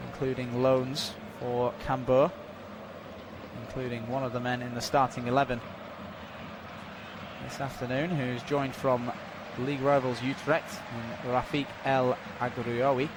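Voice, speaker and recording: A man giving unofficial live commentary on a football match, calmly filling a stoppage with updates and statistics. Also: mid-range voice, background noise. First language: not Dutch. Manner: measured, informative, filling time with stats and updates.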